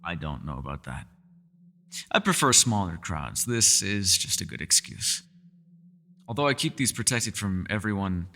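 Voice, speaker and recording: A man playing a mysterious, powerful and secretive elf in a fantasy ASMR roleplay, speaking in measured, formal, old-fashioned phrases, by turns teasing and world-weary. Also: sits low, sharp tone, exaggerated voice. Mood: guarded, earnest.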